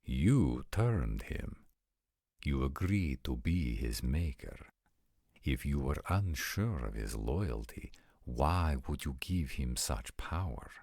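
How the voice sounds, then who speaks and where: An extremely low-voiced vampire lord, acted by a man, commanding and formal. The voice is full of gravel and husk, and the delivery is unhurried, with measured phrasing suited to a dramatic audio roleplay. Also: big pitch swings, darkish tone, no echo, studio quality, full character voice. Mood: measured, guarded. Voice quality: very deep, gravelly, husky. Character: commanding, arrogant male vampire nobles in tense parley.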